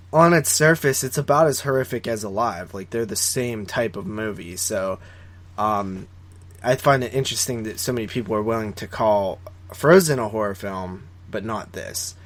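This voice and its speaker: A man co-hosting a podcast, talking about films in a casual, rambling and opinionated way, often repeating words for emphasis. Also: mid-range voice. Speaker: podcast co-host reviewing horror films. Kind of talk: casual conversational film discussion.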